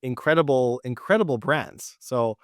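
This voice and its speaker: A man's voice: mid-range voice, expressive, normal speed, dry recording, clean studio sound. A man hosting a podcast, asking questions and praising his guest enthusiastically. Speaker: podcast host. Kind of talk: interview host chat.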